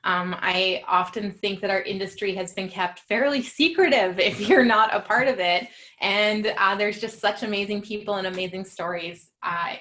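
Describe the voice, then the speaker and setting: Young woman hosting a podcast, chatty and giggly, lots of ums, easy middle pitch. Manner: warm, laughs often, many fillers. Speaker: podcast host. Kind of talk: casual podcast interview.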